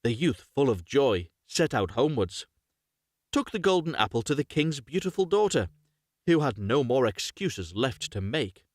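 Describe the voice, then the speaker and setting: A man's voice: medium pitch, very animated, moderate pace, no echo, studio quality. A man hosting a live, unrehearsed story reading, narrating expressively, correcting himself and adding chatty asides. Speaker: host reading stories aloud on a livestream.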